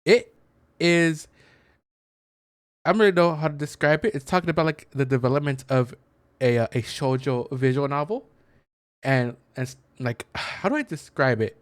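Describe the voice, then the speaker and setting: A man's voice: a little high, normal speed, pauses often, dry recording. A man doing casual let's play commentary, explaining things in a hesitant, rambling way into a close mic. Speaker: gaming content creator.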